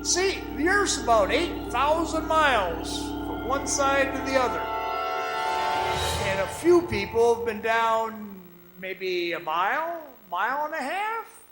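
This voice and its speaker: A man playing a weary, haunted former copper mine guide in a radio drama monologue, telling his story in a folksy, halting, conversational way with ominous pauses. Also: very high-pitched, sing-song, reverberant, music in the background, full character voice, low-quality recording. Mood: mysterious, knowing. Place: performing arts center stage.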